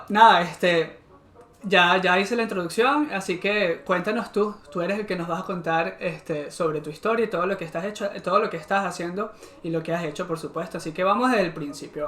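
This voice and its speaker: A Venezuelan man hosts a casual interview podcast. His voice sits high for a man, and he talks in a friendly, meandering way with frequent restarts. The sound is roomy and echoey. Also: bright, forceful tone. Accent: Venezuelan Spanish. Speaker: podcast host.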